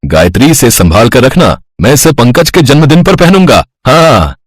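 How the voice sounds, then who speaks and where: Man acting a stubborn, emphatic husky father. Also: mid-range voice, very animated, normal speed, no echo, clean studio sound, soft background music. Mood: stubborn, jovial. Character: stubborn, cheerful old-fashioned father.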